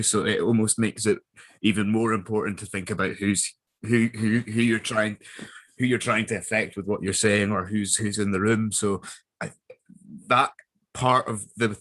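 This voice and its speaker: A man talking casually and reflectively in an interview as a voice actor, choosing words haltingly. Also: fairly low pitch, a bit bright.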